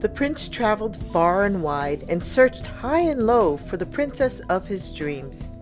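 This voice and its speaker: A woman narrates a children's storybook at an unhurried, slow pace. Her delivery is expressive and deliberate, made for young listeners. Music plays softly behind her. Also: medium pitch. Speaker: storyteller reading a picture book aloud.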